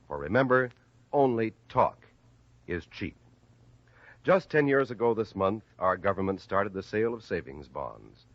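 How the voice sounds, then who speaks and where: A man's voice: on the low side, no room sound, muffled recording. An American man reading a scripted 1950s radio announcement in a declamatory, emphatic way.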